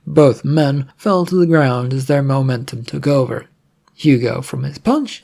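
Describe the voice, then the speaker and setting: A man's voice: mid-range voice, creaky, a little mellow. A man reading a scripted adventure story aloud as its narrator.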